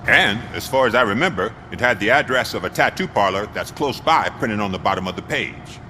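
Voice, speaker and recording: A man's voice: fairly low pitch, animated, fairly quick, pushed and bright, a little hiss or hum. A man playing a wisecracking, talkative car mechanic in a video game, casual and wry. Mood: chatty, wry.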